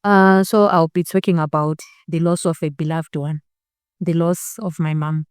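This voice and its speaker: A woman's voice: deep voice, fairly even intonation, normal speed, darkish tone, clean tone, no echo, clean studio sound. A woman in her twenties with a Zimbabwean accent and Ndebele as her first language, earnestly telling her personal story as an interview guest and switching between English and her own language. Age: 20s. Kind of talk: personal testimony in a documentary-style interview.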